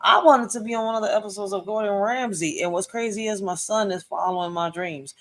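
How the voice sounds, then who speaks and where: A woman in her thirties giving casual, slangy reaction commentary, excitable and repeating herself. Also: mid-range voice, brisk. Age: 30s. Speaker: reaction video host.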